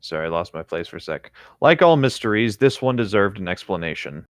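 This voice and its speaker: A man's voice: lowish voice, very animated, brisk, no room sound, studio quality. A man co-hosting a podcast, reading fan fiction aloud casually with easygoing, dryly joking asides.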